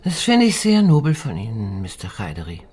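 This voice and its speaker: An actress playing a worldly, poised middle-aged madame in a radio drama, formal and cool, her phrases trailing off low. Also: huge pitch swings, fairly quick, a little mellow, husky, full character voice. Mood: reserved, reluctant. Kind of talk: German radio drama acting.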